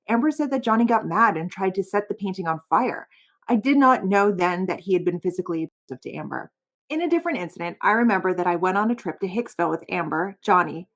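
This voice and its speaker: A woman doing a voiceover, reading a formal legal witness statement aloud. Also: fairly low pitch. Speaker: voiceover reader.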